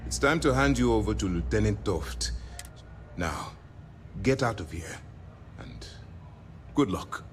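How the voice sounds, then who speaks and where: An actor playing a stern, measured military commander in a video game, speaking with grave, deliberate authority. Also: male voice, lowish voice, a bit bright. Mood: firm. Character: stern, measured male military commander briefing an undercover operative. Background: background music.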